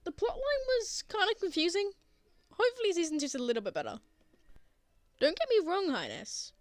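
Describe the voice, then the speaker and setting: A woman's voice: extremely high pitch, very animated, normal speed, no room sound. A young woman playing a teasing, bashful but tender college student in an intimate roleplay audio drama. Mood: defensive, teasing. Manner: playful teasing with bashful hesitations.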